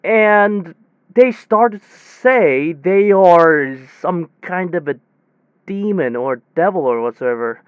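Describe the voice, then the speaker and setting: A man playing a rattled technician in an audio drama, telling his story conversationally in halting, repetitive bursts full of false starts and self-corrections. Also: sits high, lively intonation, clean tone, no echo, phone-quality sound. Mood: groping, uncertain. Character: rattled, rambling young technician retelling a bizarre demon encounter. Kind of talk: hobby audio drama monologue, conversational retelling.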